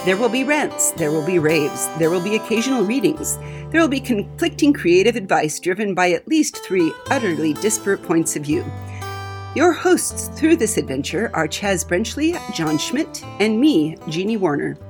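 A woman's voice: medium pitch, sing-song, normal speed, music in the background. A woman hosting a podcast in a casual, chatty style. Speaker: podcast host.

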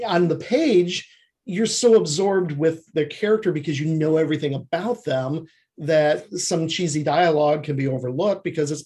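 A man speaking as an interview guest, explaining his craft in a halting, explanatory way. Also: fairly high pitch, a little mellow, husky. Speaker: guest screenwriter.

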